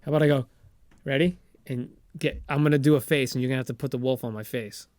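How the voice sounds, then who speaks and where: A man's voice: medium pitch, steady tone, fast, darkish tone, dry recording, clean studio sound. An American man talking casually and enthusiastically as a chatty podcast co-host. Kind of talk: casual chat.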